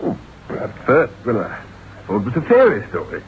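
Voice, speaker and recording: Jaunty sceptical chap, very animated mid-pitched delivery, vintage radio play, muffled old recording. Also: male voice, slight background noise. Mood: persuasive. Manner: jaunty, casual, hesitant pauses. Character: breezy, sceptical male friend of the writer. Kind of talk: old-time radio drama acting.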